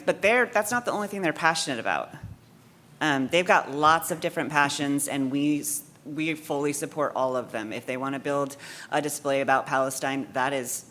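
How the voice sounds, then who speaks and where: Male panelist, bright forceful voice, speaks plainly and casually. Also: medium pitch, slight background noise. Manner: frank and conversational. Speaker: bookseller panelist. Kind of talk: conference panel talk. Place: conference session room.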